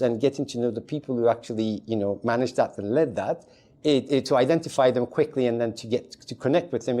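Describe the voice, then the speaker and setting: Rambling man from Turkey, mellow voice. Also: mid-range voice. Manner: rambling, frequent fillers and restarts. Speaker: podcast guest, community advisor. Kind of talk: casual chat.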